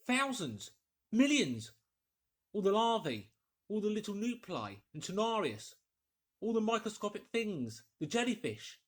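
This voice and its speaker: Storybook English doctor, acted by a male reader: high-pitched, incredulous, exclamatory, thinking aloud in bursts. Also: very animated, a little slow, full character voice. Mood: musing, excited. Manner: exclamatory, emphatic, musing aloud in short bursts. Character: astonished, agitated English doctor arguing with himself. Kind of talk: dramatised audiobook character voice.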